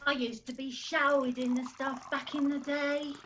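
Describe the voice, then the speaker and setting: A woman's voice: high voice, flat delivery, normal speed, noisy background, natural voice. A woman playing a haughty, snappish character with a clipped manner in a comedy radio play. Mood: bitchy.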